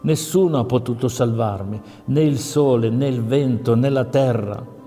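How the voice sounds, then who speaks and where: A man voicing a frightened young man in a fairy tale, pleading, louder and higher than his narration. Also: a little slow, in a large hall, faint music behind, natural voice.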